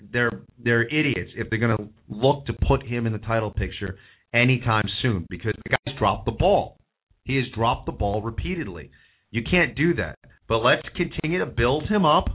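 Hoarse opinionated male talk host. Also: medium pitch. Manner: opinionated, rambling, repeats himself. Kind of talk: call-in wrestling talk show monologue. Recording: tinny phone-like audio.

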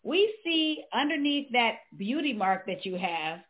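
Animated woman host on a tinny call. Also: on the high side, a little slow. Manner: vivid, animated storytelling. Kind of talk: panel discussion. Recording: tinny phone-like online call audio.

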